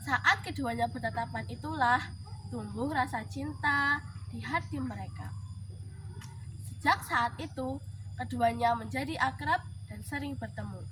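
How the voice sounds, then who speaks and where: High-pitched female folk-tale storyteller. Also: measured pace. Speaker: storyteller in a school storytelling contest. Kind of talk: expressive scripted folk-tale narration. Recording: clearly noisy background.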